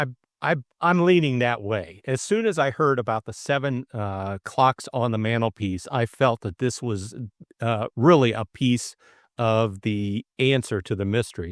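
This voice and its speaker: A man's voice: mid-range voice, normal speed, dry recording, studio quality. A middle-aged man co-hosting a casual book discussion, thinking aloud in a hesitant way. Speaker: audiobook co-host and narrator.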